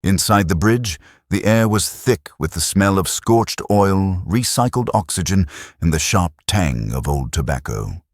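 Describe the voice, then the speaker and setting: A man narrating a science fiction audio drama with a deep, slow dramatic delivery. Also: animated, dry recording, studio quality.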